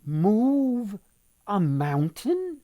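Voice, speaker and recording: A man's voice: sits high, wildly swooping pitch, drawn-out words, warm tone, pitched above the performer's own voice, exaggerated voice. A man voicing an earnest young crab suitor in a folktale, speaking emphatically and insistently. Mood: incredulous.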